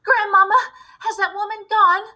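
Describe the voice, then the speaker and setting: An anxious young boy, played by a woman reading aloud, very high and bright. The words are urgent and pleading, in a storybook style. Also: steady tone, moderate pace, heavily acted. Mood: frightened pleading. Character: frightened young grandson, anxious and questioning.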